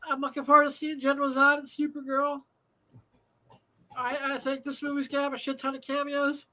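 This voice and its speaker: A man's voice: very high-pitched, completely flat delivery, fairly quick, sharp tone, poor audio. A man hosting a live show from home, talking in a rambling, profane way with many fillers, a slightly nasal voice and a dry throat. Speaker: host. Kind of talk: casual live show monologue.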